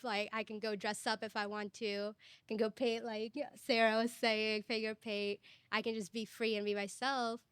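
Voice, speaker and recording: A woman's voice: mid-range voice, a bit bright, smooth, dry recording. A young woman from Egypt, a student panelist, speaking earnestly from personal experience in a panel discussion. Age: young adult.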